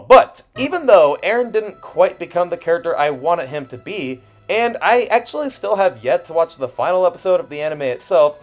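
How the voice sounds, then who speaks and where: A man narrating a personal video essay in an expressive way, with self-deprecating asides and dramatic pauses. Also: sits high. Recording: music under the voice. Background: background music.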